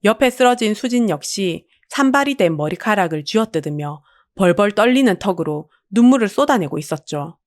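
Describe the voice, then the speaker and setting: Expressive woman narrating a dramatic story. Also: mid-range voice, normal speed, few pauses, no echo, clean studio sound. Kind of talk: dramatic scripted audiobook narration.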